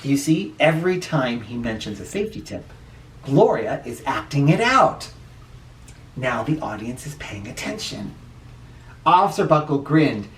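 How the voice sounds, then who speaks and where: A man reads a children's storybook with a very lively, swooping delivery. He is playful and expressive, leaning into each exclamation. The voice sits at some distance from the mic in an echoey room. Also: mid-range voice, a little slow. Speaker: picture book reader for children. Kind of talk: animated storybook read-aloud. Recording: roomy echo, distant microphone.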